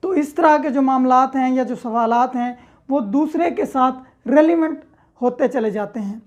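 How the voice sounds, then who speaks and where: A woman's voice: sits high, a little slow, darkish tone, dull audio. A woman with a Pakistani accent giving an explanatory law lecture as a teacher, moving between reading aloud and simple explanation. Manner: reads clauses aloud, then explains with simple examples.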